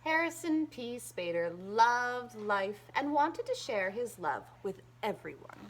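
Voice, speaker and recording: A woman reading a children's picture book aloud in an expressive, emphatic storytelling manner. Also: on the high side, slow, slight background noise, poor audio.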